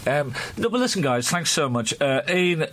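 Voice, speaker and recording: A middle-aged man with an Irish accent hosting a casual comedy chat, teasing his guests with quick asides. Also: on the high side, big pitch swings, a bit bright, slight background noise. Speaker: podcast host.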